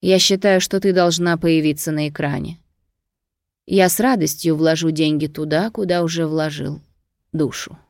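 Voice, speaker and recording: A man's voice: fairly high pitch, fairly even intonation, moderate pace, vocal fry, no room sound, clean studio sound. Woman narrator as a domineering rich Greek man. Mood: persuasive. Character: domineering wealthy Greek lover, possessive and impatient.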